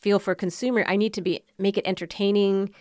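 A chatty woman hosts a podcast in a dry, close recording. Her voice sits at a middle pitch, and her thoughts often trail off as she talks. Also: fairly even intonation, quick pace, darkish tone, no room sound. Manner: chatty, laughs easily, trails off mid-thought. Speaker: podcast host. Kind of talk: casual podcast chat.

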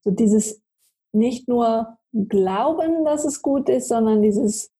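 A woman from Switzerland, a native Swiss German speaker, speaking conversationally as an interview guest with a husky voice. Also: a little high, slow, dark tone. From Switzerland, grew up in Zurich. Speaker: podcast guest. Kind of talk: conversational life-story interview.

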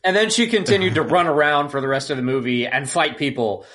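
A man's voice: a little high, brisk, a bit bright. A man co-hosting a podcast, casually chatting about a film with wry, incredulous retelling. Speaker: podcast co-host. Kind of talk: casual film review chat.

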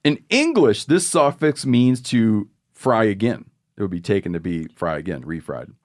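A man's voice: on the low side, very animated, moderate pace, darkish tone. A man hosting a casual podcast chat, reading listener mail aloud and making joking asides. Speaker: podcast host.

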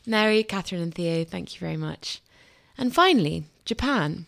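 A woman hosting a magazine discussion podcast, who reads scripted introductions in a measured way and then puts questions to guests. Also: on the low side, animated, gravelly. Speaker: podcast host.

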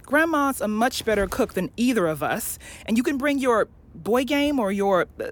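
A woman playing a loving, patient, academic mother in an audio drama, explaining things gently and thoughtfully, with some hesitation. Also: fairly high pitch, animated, normal speed, natural voice. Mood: patient, firm.

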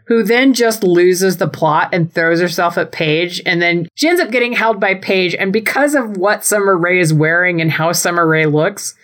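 A woman's voice: lowish voice, a bit bright. A woman co-hosting a podcast, giving a casual, comedic recap with playful, wordy descriptions. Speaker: podcast co-host.

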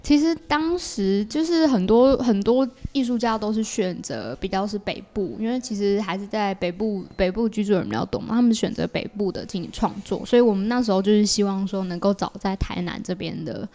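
A woman's voice: mid-range voice, brisk, few pauses, dark tone. Thoughtful Taiwanese woman explaining in conversation. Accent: Taiwanese Mandarin. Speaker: interview guest, artist. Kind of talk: casual explanatory interview talk.

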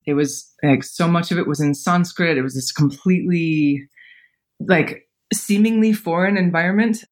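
A woman's voice: fairly low pitch, moderate pace. A woman in her thirties, a podcast guest telling personal stories casually, with frequent fillers and laughter. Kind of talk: casual storytelling interview.

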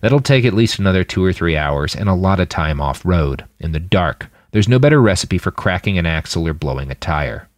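Man voicing a blunt, laconic deep-voiced fighter. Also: expressive, brisk, few pauses, dry recording. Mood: matter-of-fact. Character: gruff, casual, battle-hardened male fighter in a dystopian story.

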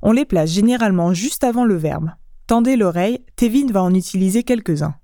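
A woman hosting a language lesson, explaining clearly in a teacherly way. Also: medium pitch, expressive, fast talker, warm tone, no echo.

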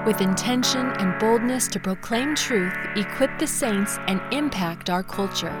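A woman reading scripted announcements and promotions in a smooth, read delivery. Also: medium pitch, unhurried. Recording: music bed behind voice.

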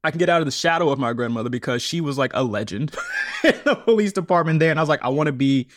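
A man in his thirties, a podcast guest, telling his story in a conversational interview with quick laughs. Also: on the high side, expressive, a little hiss or hum.

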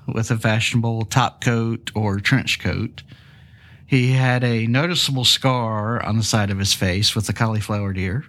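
A man's voice: mid-range voice, fairly bright tone. A man hosting a true crime podcast, sounding stuffy from a cold, telling the case with casual, jokey asides. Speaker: podcast host.

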